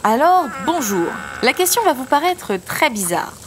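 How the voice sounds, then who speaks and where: Actress as young woman, fairly high voice, sardonic, casual, comedic audio drama, faint background noise. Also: sing-song, measured pace. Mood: casual, determined. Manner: sardonic, casual, polite with strangers. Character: dry, teasing young woman on a quest.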